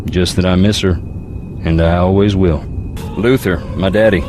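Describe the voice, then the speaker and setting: Over clear background noise comes a brooding Southern US young man from an adventure game. His voice sits low, with a folksy drawl. He muses philosophically with dry, wry wit. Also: steady tone, unhurried, darkish tone. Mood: reflective. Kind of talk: video game character dialogue.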